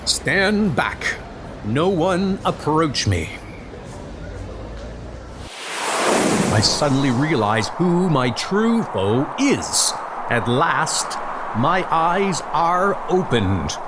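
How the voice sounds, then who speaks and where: A man's voice: a little high, very animated, very slow, long pauses, noisy background, full character voice. A man voicing a noble, vengeful thunder god in a comic book audio drama, declaiming in archaic, heroic speech.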